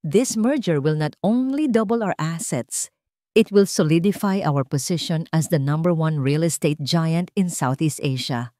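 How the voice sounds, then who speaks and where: Babaeng gumaganap bilang kumpiyansang tusong negosyanteng Pilipino. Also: female voice, low voice, measured pace, warm tone, no room sound, clean studio sound. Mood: confident. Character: confident, scheming young businessman pitching his deal. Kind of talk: dramatic audio storybook reading.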